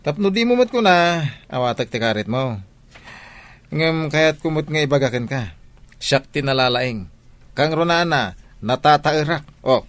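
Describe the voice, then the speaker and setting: A man's voice: on the high side, expressive, unhurried, a bit bright, no room sound. A man with an Ilocano accent playing a boastful young village suitor in a radio comedy drama, teasing and chuckling. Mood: cocky, teasing.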